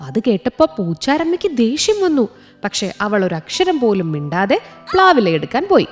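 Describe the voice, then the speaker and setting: A high-pitched woman storyteller reads a children's tale with lively, swinging intonation. She is expressive throughout, and music plays along behind her. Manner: expressive, with sound words. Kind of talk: scripted children's story narration.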